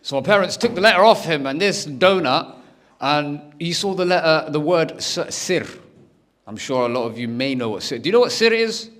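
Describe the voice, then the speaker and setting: A young man does stand-up on a comedy stage. He tells personal stories and jumps in and out of the voices of the people in them. He keeps the delivery animated and chatty. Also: fairly high pitch, normal speed. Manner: animated, acts out every character's lines. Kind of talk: stand-up storytelling.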